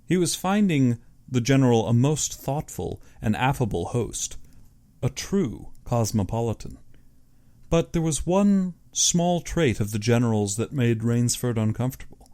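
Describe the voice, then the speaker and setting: Mellow male audiobook narrator. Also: medium pitch, animated, a little slow. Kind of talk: scripted literary story narration.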